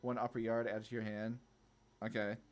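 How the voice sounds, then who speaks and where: A man's voice: on the low side, level tone, normal speed. A man giving casual card game commentary, thinking aloud and often questioning his own choices as he goes. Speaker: gameplay video host. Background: background music.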